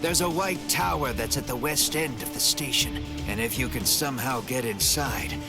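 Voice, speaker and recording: An actor playing a proud, brash wounded fighter in a video game, with a gruff, mocking and dramatic delivery. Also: male voice, mid-range voice, moderate pace, over loud music, lightly acted. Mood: gruff, grave. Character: brash wounded young male warrior, proud and scornful. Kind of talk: English video game voice acting.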